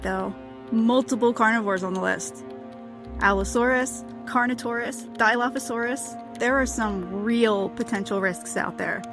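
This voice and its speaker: Higher-voiced actress as a frank young-partner agent. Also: female voice, close to an everyday voice. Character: inquisitive female field agent, frank junior partner. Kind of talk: audio drama acting.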